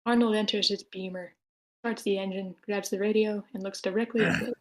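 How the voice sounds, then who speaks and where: Woman narrating screenplay action, mid-pitched. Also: steady tone, fairly quick. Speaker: narrator reading stage directions.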